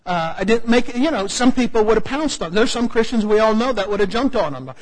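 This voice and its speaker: A male preacher with an American accent spins a funny personal story from the pulpit. His voice sits high and a little husky. It rings out through a large hall with a long reverb tail. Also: fairly quick. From United States. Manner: humorous, animated storytelling with asides. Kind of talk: storytelling sermon.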